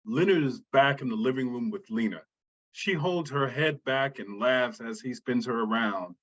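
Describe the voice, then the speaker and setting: Husky man narrating stage directions. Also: medium pitch, a bit bright. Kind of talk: scripted screenplay narration. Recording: dull, muffled sound.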